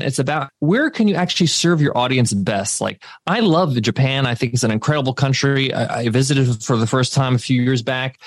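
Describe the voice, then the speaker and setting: A man's voice: medium pitch, no room sound, clean studio sound. A man in his thirties, a former teacher turned entrepreneur, talking energetically as a podcast guest and dropping "like" and "right?" often. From United States. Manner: energetic, emphatic, frequent "like" and "right?". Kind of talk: casual interview talk.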